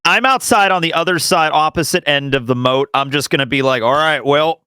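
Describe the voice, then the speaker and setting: A man playing a tabletop game, chatting casually and improvising in an excitable, informal way. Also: fairly high pitch, brisk, clean tone, no echo, clean studio sound.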